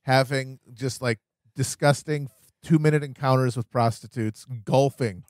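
A man's voice: mid-range voice, steady tone, a little slow, dry recording, clean studio sound. A man co-hosting a podcast, giving emphatic, wordy, profane comedic commentary on politics in a casual style. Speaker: podcast co-host.

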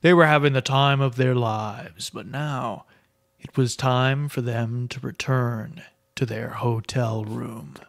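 Slow-paced man narrating a story. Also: mid-range voice, steady tone, creaky, dry recording. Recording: music under the voice.

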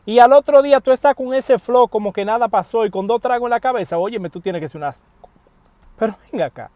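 A man's voice: very high voice, fast talker, no echo. A man, giving casual news commentary to camera from inside a parked car, speaking animatedly and appealing directly to his viewers. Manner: animated, addresses viewers directly, emphatic pleas. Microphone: wired earphone mic.

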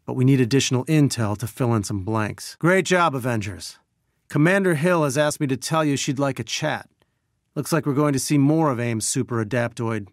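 An actor playing an earnest, brainy scientist and weary resistance leader, giving articulate, measured mission briefings in a video game. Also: male voice, mid-range voice, no echo, studio quality, lightly acted. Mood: concerned, businesslike.

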